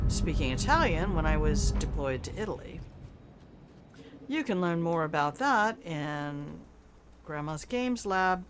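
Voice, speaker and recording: Older woman, low chatty voice. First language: Afrikaans. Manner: chatty, rambling asides, reacts aloud to the game. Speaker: gaming streamer. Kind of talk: casual gameplay commentary.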